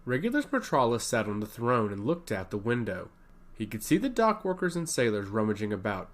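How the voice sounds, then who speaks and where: A man narrating a scripted fantasy story with a dramatic delivery. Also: medium pitch.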